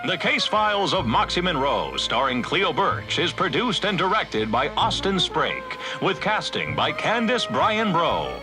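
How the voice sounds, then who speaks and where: Very animated old-time radio announcer. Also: male voice, fairly high pitch, a little slow, hardly any pauses, over music, poor audio. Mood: showy, formal.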